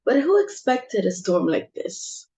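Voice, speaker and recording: A woman's voice: fairly high pitch, sing-song, a little slow, warm tone. A woman playing a bossy, social-climbing society mother with theatrical exclamations in an amateur stage comedy reading. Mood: fussy, commanding.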